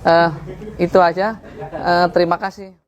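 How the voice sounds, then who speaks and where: A man's voice: high-pitched, little pitch movement, a little slow, muffled recording. A man from East Kalimantan giving a plain spoken testimonial as a company employee. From East Kalimantan, Indonesia. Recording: clear ambient background noise.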